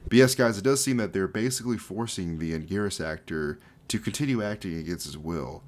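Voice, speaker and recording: A man's voice: low-pitched, a little fast. A young man giving casual video commentary in an informal, jokey way. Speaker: YouTube host explaining a horror series. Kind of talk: casual commentary with dramatic read-out passages.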